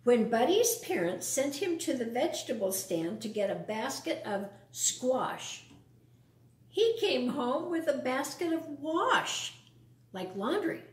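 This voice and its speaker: A woman's voice: high voice, sing-song, slow, reverberant. A woman reading a picture book aloud to young children, adding casual explanations and questions. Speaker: storytime reader.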